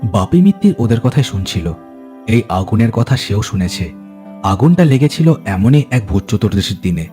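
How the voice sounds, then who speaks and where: A man's voice: lowish voice, sing-song, moderate pace, warm tone, faint music behind. A man narrating a horror story in a dramatic, scripted storytelling style.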